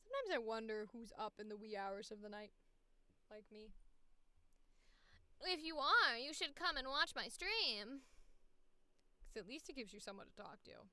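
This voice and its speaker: A woman's voice: on the high side, big pitch swings, normal speed, pauses often, sharp tone, no echo. A woman streamer and voice actor talking casually to herself, candid and sweary, as she records. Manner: candid, sweary, self-deprecating. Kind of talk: casual self-talk while recording auditions.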